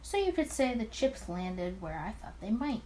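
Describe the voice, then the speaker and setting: A woman's voice: on the high side, sing-song, moderate pace, warm tone, a little hiss or hum. Woman reading as a cocky charming mafia boss. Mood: confident. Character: smooth-talking, arrogant male 1920s mafia boss.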